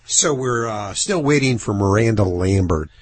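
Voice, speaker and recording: A male radio host with a slightly low voice, bantering with co-hosts in a dry, joking way. He reads from notes and drifts into asides. His delivery is casual and unhurried. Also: expressive, slightly rough.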